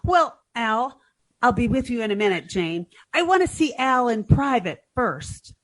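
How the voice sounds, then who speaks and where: High-pitched scatterbrained young woman played by an actress. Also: big pitch swings, unhurried. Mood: naive. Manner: guileless, dim-witted earnestness. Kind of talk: 1940s radio comedy acting.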